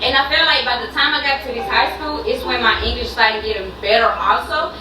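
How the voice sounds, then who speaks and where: High-voiced young woman, echoey distant playback. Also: fairly even intonation, fairly quick. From Puerto Rico, then Alabama from age ten. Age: 20s. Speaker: YouTuber, documentary subject. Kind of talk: personal vlog storytelling.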